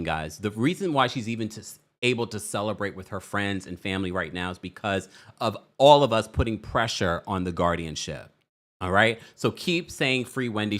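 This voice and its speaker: A man with a deep voice hosts a casual celebrity news show. He talks in a chatty, animated way and laughs often. His pitch swings widely from low to high. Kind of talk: casual commentary livestream.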